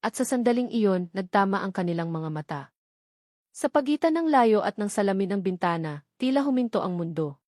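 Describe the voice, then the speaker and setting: Female audiobook narrator for dramatic fiction: medium pitch, measured phrase-by-phrase delivery, very dry sound. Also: expressive, a little mellow, no echo. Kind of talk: scripted dramatic story narration.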